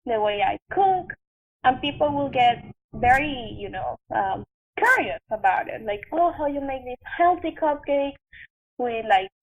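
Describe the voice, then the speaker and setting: High-voiced young Venezuelan woman, thin audio. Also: lively intonation, narrow, tinny audio. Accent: Venezuelan Spanish. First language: Spanish. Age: young adult. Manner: halting, filler-heavy, conversational. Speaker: podcast guest, actress and chef. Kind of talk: casual interview storytelling.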